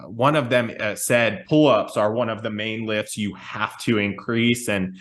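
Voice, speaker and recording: A man's voice: lowish voice, a little slow, fairly bright tone. A man hosting a casual podcast conversation, talking in a rambling, reflective storytelling way. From small town. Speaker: podcast host.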